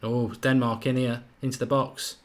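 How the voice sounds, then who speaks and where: A man's voice: medium pitch, flat delivery, normal speed. A man with a slight Irish accent hosting a live football watchalong, chatting and thinking aloud while he reads out viewer comments. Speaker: host of a live match watchalong.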